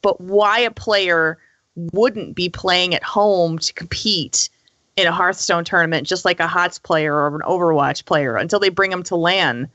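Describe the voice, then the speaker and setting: A woman's voice: fairly low pitch, measured pace, a bit bright, dry recording, muffled recording. A woman on a podcast panel giving her opinions in an emphatic, conversational way. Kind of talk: casual opinionated discussion.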